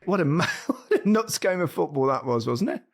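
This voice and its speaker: A man hosting a casual football podcast, relaxed and chuckling as he leads the talk. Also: fairly high pitch, a little fast. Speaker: host.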